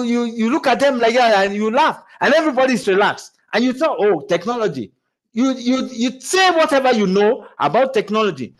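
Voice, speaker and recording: A man giving a motivational talk on public speaking, animated, with lots of restarts and repeated words, laughing as he goes. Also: sits high, low-quality recording. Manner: laughs while talking. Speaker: speaking coach.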